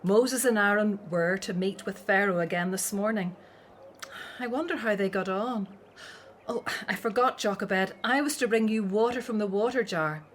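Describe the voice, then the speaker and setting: A woman's voice: medium pitch, normal speed, slight background noise, close to an everyday voice. A woman playing a dutiful, outspoken grown daughter in an audio drama set in ancient Egypt. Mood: chatty, informative.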